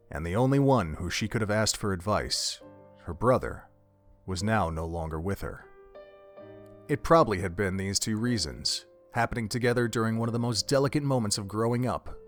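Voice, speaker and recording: A man reading scripted narration for an audio drama in a solemn, literary storytelling manner. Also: on the low side.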